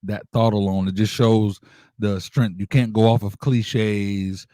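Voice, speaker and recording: A man's voice: lowish voice, fairly even intonation, measured pace, mellow, no echo. Slangy male radio host, dry sound. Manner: informal, slangy, appreciative interjections. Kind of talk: casual urban radio interview.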